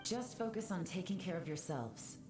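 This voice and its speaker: Actress playing a composed, calm agent; low-set, echoey, distant game audio. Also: female voice, over music, lightly acted. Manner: calm, measured. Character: cool composed female agent, calm leader.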